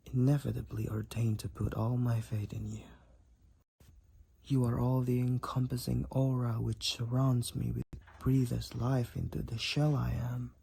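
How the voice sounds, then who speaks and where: A man performs a devoted, protective vampire boyfriend in an intimate audio drama. His voice is very husky and breathy, with a gravelly creak in it. He speaks slowly and formally, in tender, old-fashioned courtly language. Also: mid-range voice, dark tone, exaggerated voice. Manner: archaic, formal, tender, slow and deliberate wording.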